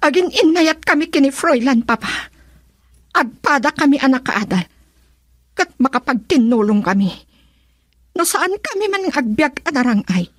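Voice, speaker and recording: A woman's voice: high-pitched, animated, moderate pace, pauses often, dry recording, studio quality. A Filipino woman playing a young daughter in love in a radio drama, earnest, pleading and defiant.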